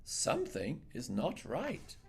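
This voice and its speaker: A man's voice: mid-range voice, huge pitch swings, slow, creaky, a little hiss or hum, voice pushed up, heavily acted. A man voicing an anxious, kindly woman who looks after little schoolgirls, in a theatrical, earnest storybook manner. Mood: alarmed.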